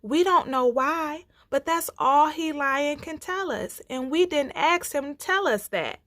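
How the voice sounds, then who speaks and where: Timid little woodland critters female storyteller, raised voice, pleading, folksy, very animated. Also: sits high, measured pace, no room sound, studio quality, pitched above the performer's own voice. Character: frightened little forest animals, complaining and pleading.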